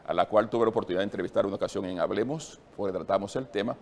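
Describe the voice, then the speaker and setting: Low-voiced male host. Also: very fast, gravelly, a little mellow. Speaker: interview show host. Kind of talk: talk show interview.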